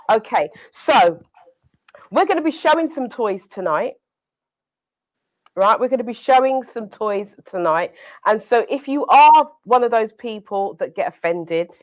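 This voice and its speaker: A woman with a British accent hosting a live online talk show over a video call, laughing often and talking straight to her viewers. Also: medium pitch. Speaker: host.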